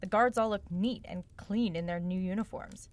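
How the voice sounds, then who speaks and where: An actress playing a sharp, sarcastic young woman who probes for answers in a naturalistic audio drama. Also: mid-range voice, normal speed, noisy background, close to an everyday voice. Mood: sardonic, insistent. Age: young adult. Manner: wry, probing, sardonic.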